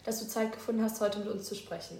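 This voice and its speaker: A woman's voice: mid-range voice, steady tone, quick pace, vocal fry, warm tone. A young woman hosting a school podcast, who asks interview questions and often rephrases them hesitantly. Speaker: student podcast host. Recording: echoey room.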